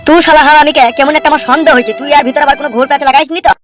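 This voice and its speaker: A man's voice: extremely high pitch, fairly even intonation, very fast. A man voicing brash, lecturing village men in a comedy cartoon, with a blustery manner. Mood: aggrieved. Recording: music behind the voice.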